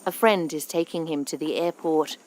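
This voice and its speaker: A woman presenting a radio documentary, narrating a script in a measured, even way over a studio microphone. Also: sits low, background noise. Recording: music bed underneath.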